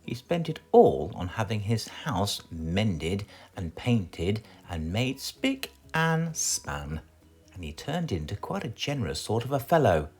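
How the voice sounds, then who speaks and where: Low-voiced British man, hugely animated storyteller. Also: takes it slowly, slightly rough, faint music behind. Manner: theatrical, expressive delivery. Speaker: storyteller reading aloud. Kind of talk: animated children's storybook narration.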